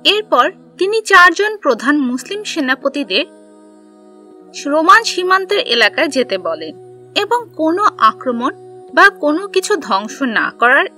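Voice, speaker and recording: A woman reads a scripted story for children with a high-pitched voice that moves up and down in a lively way. Her phrasing is simple and clear, in a storybook style, with faint music underneath. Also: unhurried. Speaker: narrator of an Islamic history cartoon for children.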